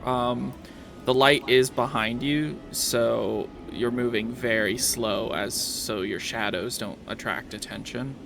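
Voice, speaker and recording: A man with a creaky, gravelly voice runs a tabletop game as game master. He talks casually and haltingly, over faint background noise. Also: medium pitch, fairly even intonation.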